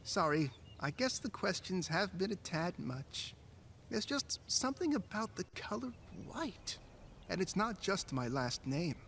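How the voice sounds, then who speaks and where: A man's voice: sits high, big pitch swings, measured pace, vocal fry, fairly bright tone, slight background noise, heavily acted. An actor playing a creepy, falsely genteel male photography teacher in a visual novel, speaking in a theatrical, overly polite way. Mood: eerily earnest. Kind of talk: scripted visual novel voice acting.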